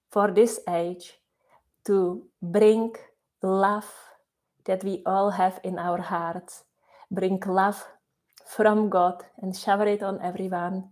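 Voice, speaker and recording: A woman whose first language is Czech, guiding a meditation slowly and softly, repeating her phrases with long pauses. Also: mid-range voice. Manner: slow, soft and repetitive, with many pauses. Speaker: meditation guide. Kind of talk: guided meditation.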